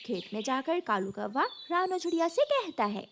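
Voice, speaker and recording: High-pitched woman narrating a children's story. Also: sing-song, moderate pace, slight background noise.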